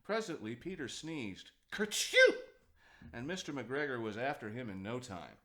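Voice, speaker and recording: A man's voice: mid-range voice, animated, normal speed, a bit bright. A man narrating a children's picture book as a storyteller, reading expressively for young listeners.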